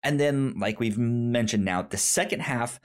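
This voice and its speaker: A podcast co-host chatting casually about a film, haltingly, often repeating words and acting out their own reactions. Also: mid-range voice. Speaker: podcast co-host reviewing films. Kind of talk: casual chat, film review.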